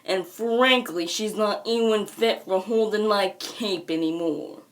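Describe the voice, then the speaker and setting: A woman's voice: mid-range voice, unhurried, close to an everyday voice. A woman voicing a haughty, pompous young troll aristocrat with theatrical delivery. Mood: grandiose. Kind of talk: fan character voice acting reel.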